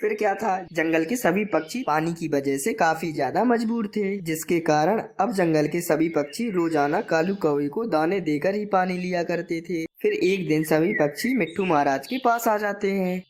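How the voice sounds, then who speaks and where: A woman's voice: lowish voice, normal speed, few pauses, darkish tone, a little hiss or hum. A woman narrator reading a scripted children's moral story.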